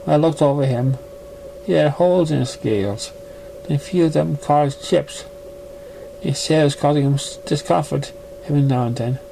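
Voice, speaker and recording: A man voicing an earnest, concerned young pony in a halting manner. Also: on the high side, vocal fry, warm tone, slight background noise, rough recording.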